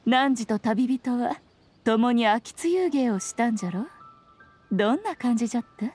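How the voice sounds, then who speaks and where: Ancient fox spirit lady for anime game: higher register, imperious yet playful, old-fashioned diction, light music underneath. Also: lively intonation, moderate pace, darkish tone, breathy edge. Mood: knowing, gentle. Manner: archaic, lordly old-fashioned speech, teasing and self-assured. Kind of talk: Japanese anime game voice acting.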